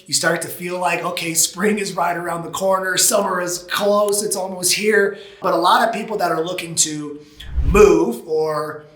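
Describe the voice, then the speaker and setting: A hoarse man in his thirties, a real estate agent talking casually and energetically to the camera from an office. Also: sits high, normal speed, echoey room, rough recording. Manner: energetic, gesturing with both hands. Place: office room with glass walls.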